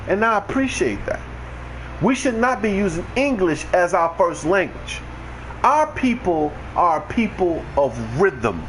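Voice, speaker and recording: Blunt, ranting man streamer, lively pitch. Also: a little hiss or hum, rough recording. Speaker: livestreamer. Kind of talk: unscripted monologue.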